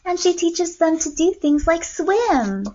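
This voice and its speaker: A young woman teacher reading aloud to kindergartners in an expressive storytelling way, recorded indoors on a computer mic. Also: very high-pitched, slow, highs cut off, a little hiss or hum. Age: young adult. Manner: expressive, clearly paced storytelling for children. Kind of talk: read-aloud lesson for young children. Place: small room at home, indoors.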